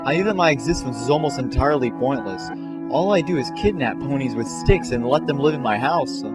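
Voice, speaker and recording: A man's voice: mid-range voice, expressive, fairly quick, close to an everyday voice. A man voicing a huge male pony robber, menacing yet self-pitying, in a comic fan-fiction story. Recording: music behind the voice.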